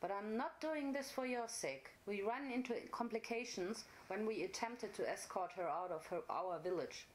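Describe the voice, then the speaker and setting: A woman's voice: medium pitch, moderate pace, few pauses, natural voice, poor audio. Woman playing a stern unicorn mother chieftain. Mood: grave.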